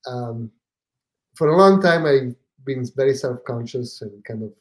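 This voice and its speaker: A man's voice: medium pitch, lively intonation, measured pace. Italian-accented man, hesitant talk. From Italy. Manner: hesitant, many fillers and restarts. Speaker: podcast guest. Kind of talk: casual conversation.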